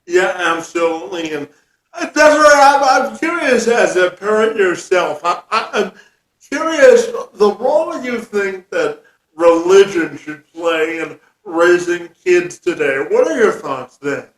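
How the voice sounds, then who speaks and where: A man hosting a conversational interview, with halting, stammered phrasing, recorded with a roomy echo. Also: high voice, very animated, a bit bright. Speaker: podcast host. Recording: roomy, echoing sound.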